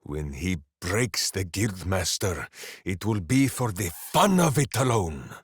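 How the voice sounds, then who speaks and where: A man's voice: low voice, very animated, measured pace, breathy edge, dry recording, studio quality, exaggerated voice. A man voicing a big-hearted, simple-minded heroic warrior in a video game, bombastic and earnest, speaking of himself in the third person. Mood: resolute. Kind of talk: video game character voice acting.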